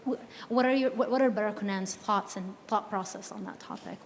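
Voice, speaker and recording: A woman whose first language is Arabic, asking a panel a polite, halting question from the audience. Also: mid-range voice. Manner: polite, hesitant, restarts and apologizes. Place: hall at a symposium. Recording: long reverb in a hall, faint noise.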